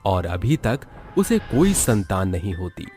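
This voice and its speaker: A man narrates a dramatic cartoon story in a low voice with lively, swinging intonation. The delivery is scripted storytelling, with music playing behind it. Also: a little slow. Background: background music.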